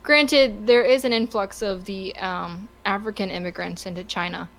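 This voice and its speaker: A woman guest on a casual podcast, talking in halting, self-repeating phrases. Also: medium pitch, steady tone, low-quality recording.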